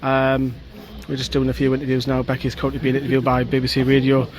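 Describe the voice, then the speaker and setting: A man setting the scene in a chatty vlog update outdoors pitchside in the rain. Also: monotone, quick pace. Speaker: fan channel vlogger. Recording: outdoor camera mic with loud crowd. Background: crowd noise.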